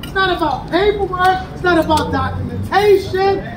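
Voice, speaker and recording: An American man teaching a street crowd in call-and-response style, emphatic and rising to shouts. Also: extremely high pitch, moderate pace. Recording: echoey and distant, with crowd and traffic. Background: crowd responses and passing vehicles.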